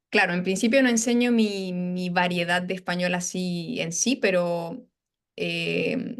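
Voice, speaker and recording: A woman from Chile with a soft Chilean Spanish accent, chatting as a language teacher on a video call, often tagging her sentences with questions. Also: on the low side. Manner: relaxed, chatty, many tag questions. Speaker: Spanish and English teacher, interview guest. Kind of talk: casual interview over video call.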